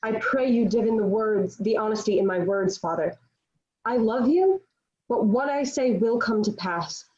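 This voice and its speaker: A woman performs a young, devout peasant girl who is defiant and sure of herself. Her voice is fairly high, with earnest, formal period phrasing. The recording is somewhat dull, as from an online call. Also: expressive, normal speed, a little mellow, natural voice. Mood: solemn, menacing. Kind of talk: dramatic table read of a period stage play. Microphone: video call.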